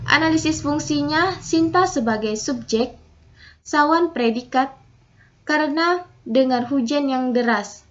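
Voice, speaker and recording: A young woman with a high voice works through her prepared presentation in a steady, methodical way, reading out example sentences and breaking them down one by one. The recording is dull and lo-fi. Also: unhurried, long pauses. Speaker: student presenting a final assignment.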